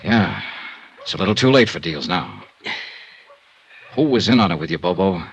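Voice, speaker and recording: An actor in a radio noir playing a tough, sardonic American insurance investigator, blunt and hard-boiled. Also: male voice, deep voice, big pitch swings, moderate pace, husky, full character voice. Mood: subdued, grim. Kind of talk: 1950s radio noir acting and narration.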